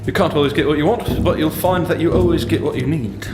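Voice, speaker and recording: A male actor as a mysterious mentor with a slightly high voice, wry and teasing. He speaks formally and takes his time, smug in what he knows. The radio drama sound is very roomy, with music playing underneath. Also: quick pace, natural voice. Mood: smug, amused.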